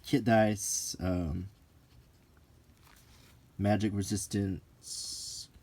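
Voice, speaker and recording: A man's voice: fairly low pitch, fairly even intonation, very slow, darkish tone, husky. A middle-aged man talking casually and hesitantly in an unscripted, ASMR-like way, musing as he reviews something. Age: 40s to 50s. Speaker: host of a hobby video.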